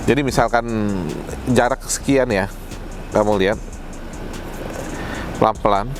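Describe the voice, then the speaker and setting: A man's voice: fairly low pitch, measured pace. Man presenting gear over music. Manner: colloquial, explanatory, addressing viewers directly. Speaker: product presenter. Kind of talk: casual product demonstration to camera.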